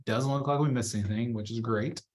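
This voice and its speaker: Man casually talking through a software demo. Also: mid-range voice, fairly quick, slight background noise. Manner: chatty, informal, thinking aloud as he works. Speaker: real estate trainer. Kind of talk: casual live software walkthrough.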